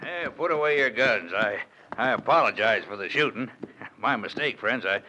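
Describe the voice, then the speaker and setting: An actor playing a sly, overfriendly frontier stranger who chuckles and apologizes falsely, from a crackly 1951 radio broadcast. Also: male voice, fairly low pitch, lively intonation, normal speed, bright, forceful tone. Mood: smarmy.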